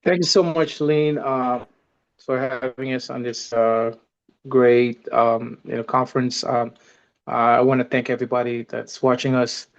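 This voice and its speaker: A man with a Senegalese accent introducing himself on a video call, halting with many fillers. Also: mid-range voice, fairly even intonation, dull audio. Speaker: advocacy director. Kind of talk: casual self-introduction.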